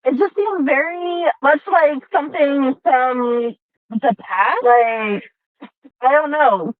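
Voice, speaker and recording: A woman's voice: high voice, slow pace, no echo. A woman playing a naive, curious mechanical being in a tabletop game, hesitant and full of wonder. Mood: puzzled, wondering. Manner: hesitant, wondering, peppered with questions. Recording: muffled tinny phone-like audio from a car.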